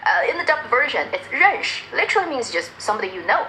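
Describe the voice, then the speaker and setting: Bright, animated woman explaining. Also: a little high, quick pace, a little hiss or hum, rough recording. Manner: animated, laughs often while explaining. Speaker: commentator explaining drama dialogue. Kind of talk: explanatory chat.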